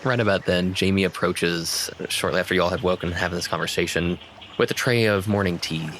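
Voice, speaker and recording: A man's voice: sits low, fairly even intonation, a little fast, fairly bright tone, slight background noise, rough recording. A man acting as game master, narrating a tabletop roleplaying game in descriptive, conversational prose.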